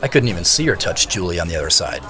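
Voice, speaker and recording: A wry brother telling his story in an audio drama, kinda deep, bit of music behind. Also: sing-song, very fast, pushed and bright, some chatter behind, exaggerated voice. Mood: reflective. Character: adult brother, a celebrity CEO, playful and guarded. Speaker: actor and narrator. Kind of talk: first-person audio drama narration and dialogue.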